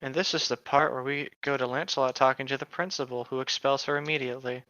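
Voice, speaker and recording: A man's voice: medium pitch, steady tone, fast, sharp tone, dry recording. A man joking casually in a snarky, mocking way over a story being read aloud, on a lo-fi microphone. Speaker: podcast co-host.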